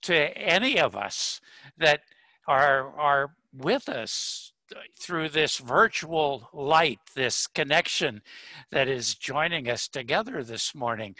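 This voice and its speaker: A man delivering a poetic spiritual invocation in a solemn, measured manner, pausing between short phrases. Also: mid-range voice, bright, forceful tone, dry recording. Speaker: speaker giving a spiritual invocation.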